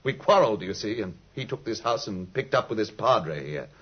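Husky, blustering lovelorn man. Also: fairly low pitch, animated, normal speed. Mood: rueful. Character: gruff, agitated lovelorn man, blustering and rueful. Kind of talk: 1950s radio drama acting. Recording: muffled 1950s radio recording.

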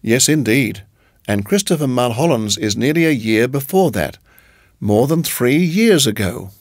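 A polite young lodger acted by a male narrator in a storybook reading. He muses earnestly and hesitates, with a mid-pitched, animated voice. The sound is close and dry. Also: unhurried, dry recording. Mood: puzzled. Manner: musing, hesitant, courteous. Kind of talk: audiobook character voice.

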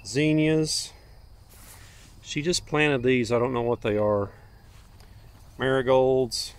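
A man's voice: medium pitch, fairly even intonation, slow, smooth. A man giving a casual, unscripted garden tour outdoors as a homestead vlogger, in a folksy, plainspoken way. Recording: outdoor recording with faint ambient noise.

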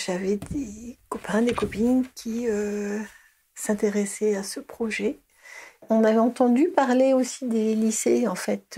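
Middle-aged woman, interviewee; mellow tone, halting, restarts often. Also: a little high, breathy edge. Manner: hesitant, many fillers and restarts. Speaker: interviewee, early childhood educator. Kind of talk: casual recorded interview.

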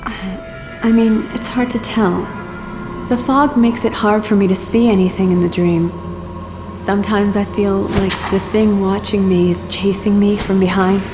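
A woman's voice: medium pitch, steady tone, a little slow, close to an everyday voice. Haunted, hesitant woman over music acted. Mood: frightened. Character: haunted, anxious young woman recounting nightmares. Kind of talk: audio drama acting.

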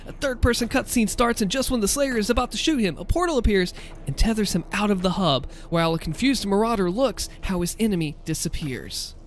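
A man hosting a gaming video, reading and commenting in a deliberate, theatrical narrator style. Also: high voice, animated, a little hiss or hum.

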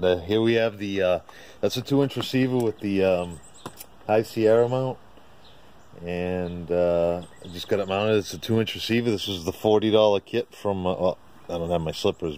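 Low-pitched man, casual outdoor walkaround. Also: darkish tone. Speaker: amateur radio hobbyist narrating own video. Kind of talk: casual walkaround vlog, unscripted. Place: outdoors in a residential driveway. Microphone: handheld camera or phone, operator off screen. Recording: outdoor ambient noise, birdsong. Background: birds and outdoor ambience.

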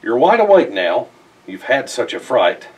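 A middle-aged man reads a rhyming children's picture book aloud in an expressive, theatrical way with emphatic phrasing. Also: mid-range voice, a little slow, slightly rough, far from the mic, poor audio. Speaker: storybook reader. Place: indoors in front of a festive backdrop.

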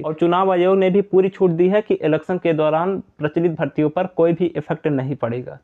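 A man giving an informal, repetitive, reassuring explainer to viewers as an exam news presenter. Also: on the high side. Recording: tinny, phone-like narrow band.

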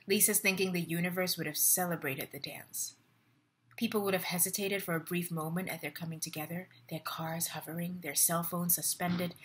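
A woman's voice: on the low side, bright, forceful tone. A young woman acting a stage monologue as a lonely, wry, restless woman reading out her online status updates. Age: young adult. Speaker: actor in a staged play reading. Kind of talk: dramatic monologue read as social media status updates. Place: living room at home. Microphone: laptop webcam at close range.

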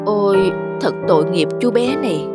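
A woman's voice: mid-range voice, lively intonation, normal speed, natural voice. A woman voicing a sheepish, kind-hearted homeless man in a cartoon, with a hesitant manner. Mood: tender. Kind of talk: cartoon voice acting. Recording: music behind the voice.

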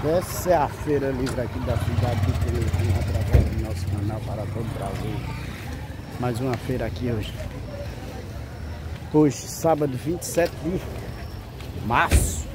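A man's voice: mid-range voice, normal speed, long pauses. A man with a Northeastern Brazilian accent chatting casually and narrating a street vlog, often repeating his phrases. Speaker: vlogger. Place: outdoor street market in a town square. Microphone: handheld camera, speaker behind it. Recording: noisy outdoor street recording with traffic.

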